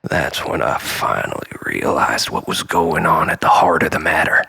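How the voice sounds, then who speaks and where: Man acting a husky, volatile, obsessive jilted lover. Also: very deep voice, sharp tone, heavily acted. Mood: seething, vengeful. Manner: volatile, halting, swinging between breathy whispers and outbursts. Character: obsessive, bitter, unhinged jilted man ranting at his lover. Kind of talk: dramatic first-person monologue.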